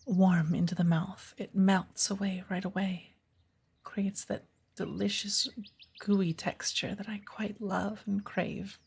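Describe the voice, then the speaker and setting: A woman playing a patient, playful witch of the woods who gently teaches an apprentice in a soft ASMR roleplay, with a breathy voice. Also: medium pitch. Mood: playful, indulgent. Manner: slow, measured, gently instructive.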